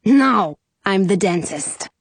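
A woman voicing an obnoxious old male genie in a storybook, with a theatrical, excitable manner. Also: mid-range voice, takes it slowly, dry recording. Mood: grumpy, sarcastic. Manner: gruff, sarcastic, theatrical exclamations. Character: grumpy, obnoxious old male genie turning gushingly joyful.

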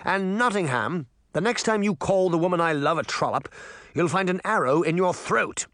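A man's voice: sits high, lively intonation, normal speed, a bit bright, no echo, voice pushed up. A bold, gallant storybook mayor, acted by a male narrator, speaks in a raised, commanding voice. He is proud and self-assured, with wry menace and a dry sound. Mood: defiant, self-assured.

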